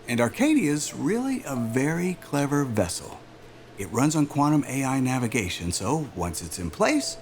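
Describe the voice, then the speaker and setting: A man playing a self-assured, smooth-talking aerospace executive in a naturalistic audio drama, with a polished, salesman-like manner. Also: a little high. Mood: proud pitching.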